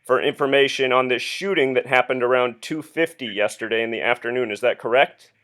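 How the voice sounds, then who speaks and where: A man speaking as a caller on a phone call, earnestly explaining his theory in an insistent, deliberate, questioning way. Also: medium pitch, fairly bright tone. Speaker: caller phoning the police with a theory.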